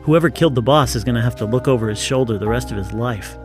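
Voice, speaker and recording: A man's voice: mid-range voice, quick pace, music in the background, natural voice. A man playing a detective's concerned, chatty assistant in an audio drama, conversational and inquisitive. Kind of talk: scripted audio drama dialogue.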